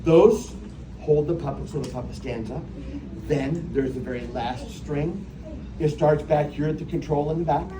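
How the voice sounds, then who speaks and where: A man's voice: fairly high pitch, moderate pace. A man working as a puppeteer, talking playfully and teasingly to children at a live show and asking them questions. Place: echoey room with a live audience. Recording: echoey, noisy live room from a distance.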